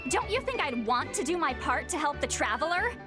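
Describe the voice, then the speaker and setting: A woman's voice: high-pitched, sing-song, brisk. A wry, haughty young shaman. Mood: explaining. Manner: wry, lecturing, slightly haughty. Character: ancient-minded young shaman woman, proud and sharp-tongued. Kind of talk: video game dialogue. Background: game soundtrack music.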